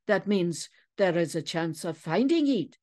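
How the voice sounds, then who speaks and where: A woman voicing a courteous, restrained gentleman in an audiobook story, measured and polite. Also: on the low side, animated. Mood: measured, curious.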